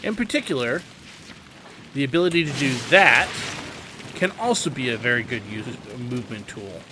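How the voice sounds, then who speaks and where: A man's voice: medium pitch, expressive, normal speed, background noise. A man giving a casual, instructive video game tutorial in an opinionated, emphatic way. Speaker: video game guide host.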